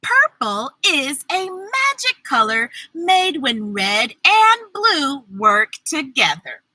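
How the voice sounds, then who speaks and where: A woman reading picture books aloud to children in an exuberant, playful way, with drawn-out words, sound effects and chatty asides. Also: high voice, sing-song, bright, forceful tone, no room sound, rough recording. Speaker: children's storybook reader and host.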